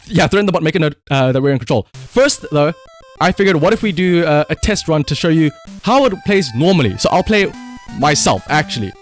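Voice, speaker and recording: Male livestream gamer, slightly high voice, very lively intonation, casual and sweary, excitable. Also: brisk, soft background music. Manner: excitable, emphatic, shouts at the game, swears. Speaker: live streamer. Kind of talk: casual livestream gaming commentary.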